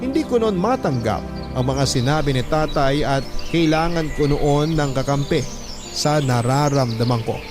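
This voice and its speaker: A man's voice: on the high side, lively intonation, normal speed. Male storyteller over a music bed. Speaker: narrator telling a letter-writer's story in first person. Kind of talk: scripted first-person dramatic narration. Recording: music bed behind narration.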